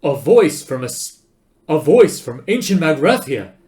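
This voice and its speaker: A man voicing a brash, cocky spaceship adventurer who talks loudly, emphatically and impatiently. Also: fairly low pitch, very animated, fairly quick. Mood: excited, shouting.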